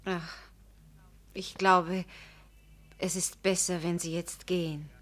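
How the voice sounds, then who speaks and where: An actress playing a proud, tender-hearted young woman in a literary radio drama, earnest and emotional, moving between hushed and vehement. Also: fairly low pitch, little pitch movement, slow. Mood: subdued. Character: young Charlotte Löwensköld, proud, impassioned, tender-hearted jilted fiancée.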